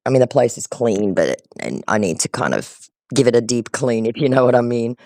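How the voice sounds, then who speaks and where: A man's voice: mid-range voice, fairly quick, a little mellow, dry recording, studio quality. A man chatting casually on a call, explaining things in a conversational, talkative way. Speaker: scambaiter on a call with a scammer. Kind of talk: casual phone chat.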